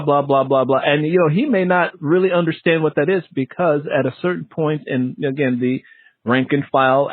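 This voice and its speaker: A man's voice: fairly high pitch, breathy edge, no echo, phone-quality sound. A man hosting an interview in a casual, conversational way, explaining things and acting out quoted lines as he goes. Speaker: interview host.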